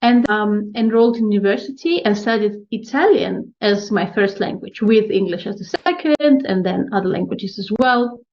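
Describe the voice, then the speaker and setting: A woman's voice: mid-range voice, animated, normal speed, hardly any pauses, a little mellow. Ukrainian-accented woman guest, muffled audio. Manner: thoughtful, many fillers and restarts, laughs lightly. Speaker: podcast guest. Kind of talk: casual interview talk. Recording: dull lo-fi audio.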